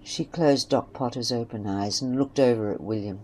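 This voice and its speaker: A woman's voice: a bass voice, normal speed, a little mellow, poor audio. A woman narrating a scripted western story. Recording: faint background noise and ambience.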